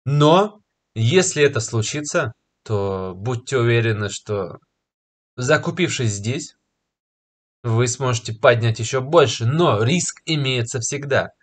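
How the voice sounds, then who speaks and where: Slightly ill young man pitching crypto. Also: lively intonation. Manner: confident, persuasive, pausing with filler words. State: slightly ill, clearing throat and coughing. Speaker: crypto market commentator. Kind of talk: screen-share market analysis to camera. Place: small room indoors. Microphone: webcam in a corner of a screen recording.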